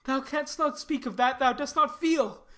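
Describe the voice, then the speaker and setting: A woman's voice: high voice, moderate pace, fairly bright tone. A woman playing a lovesick, desperate banished youth in a Shakespearean tragedy, with an anguished theatrical delivery. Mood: defiant despair. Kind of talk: classical stage tragedy in audio.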